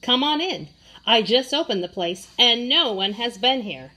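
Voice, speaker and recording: A woman reading as an emphatic, excitable male shopkeeper. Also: on the high side, a little slow, full character voice. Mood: welcoming. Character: Mister Herb, excitable male ice cream shop owner.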